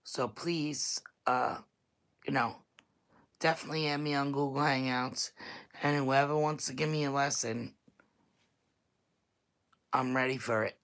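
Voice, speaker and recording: A woman speaking in a very low, deep voice with a thick Staten Island New York accent. She chats bluntly and loosely to her viewers as if on a livestream, laughing now and then. The sound is a plain home computer mic. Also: steady tone, unhurried, pauses often, fairly bright tone. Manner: chatty and blunt, laughs often. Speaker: YouTube vlogger. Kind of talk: casual livestream chat to viewers.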